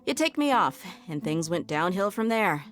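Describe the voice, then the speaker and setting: Brash, lower-pitched young fighter. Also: female voice, sing-song, moderate pace, faint music behind. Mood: breezy, blunt. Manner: casual, breezy, blunt. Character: brash, casual, tough young woman fighter. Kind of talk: video game voice acting.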